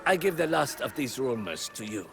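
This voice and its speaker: A man's voice: medium pitch, very animated, moderate pace, creaky, faint music behind. An actor playing a weary, exasperated old man in a video game, with a theatrical, emphatic delivery. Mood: weary, insistent. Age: older. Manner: theatrical, emphatic, swinging between mutters and shouts. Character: exasperated, weary old male investigator of monster rumors.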